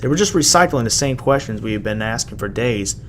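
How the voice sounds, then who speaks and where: A man with a gravelly voice giving a halting, dramatic first-person reading of a horror story as an amateur narrator. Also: medium pitch, animated, fairly bright tone. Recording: noisy amateur home recording.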